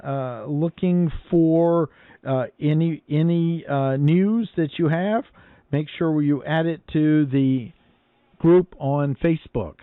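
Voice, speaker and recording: Phone-like, higher-voiced American man hosting a radio net. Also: slow pace, smooth, dry recording. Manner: appreciative, polite. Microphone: internet-linked ham radio transmission. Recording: narrow phone-like radio link audio.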